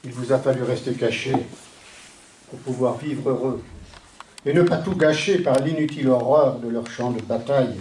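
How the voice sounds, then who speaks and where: A man recites poetry in a solemn, declamatory way, in measured phrases with deliberate pauses. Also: medium pitch, darkish tone. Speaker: poet reciting a poem. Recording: echoey, distant from the mic.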